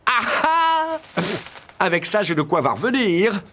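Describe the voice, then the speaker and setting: A man voicing a cheeky, boastful soldier in an animated fairy tale, with a theatrical, exclamatory and wry delivery. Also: high-pitched, slow pace, noisy background, heavily acted. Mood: elated. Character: cheeky, boastful soldier, comically excitable adventurer. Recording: loud music under the voice.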